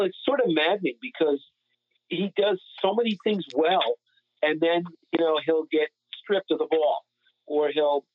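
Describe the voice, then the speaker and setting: A man's voice: on the high side, no room sound. A man talking casually about sports as a podcast co-host, over a phone-like connection.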